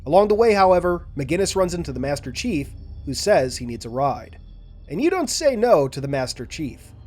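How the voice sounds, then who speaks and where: A man hosting a lore video, narrating and analyzing in a measured, explanatory way. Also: a little high, sing-song, darkish tone, soft background music.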